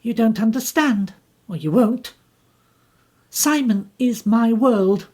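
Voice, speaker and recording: A woman playing a bitter young woman, intense and husky. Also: a little high, slow, warm tone, voice pushed up. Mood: impassioned. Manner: intense, pleading, emphatic.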